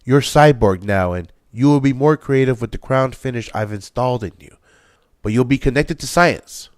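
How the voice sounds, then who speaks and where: A man playing an earnest male scientist in an audio drama, explaining things in deliberate phrases. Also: mid-range voice, dry recording, clean studio sound, close to an everyday voice. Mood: firm, explaining.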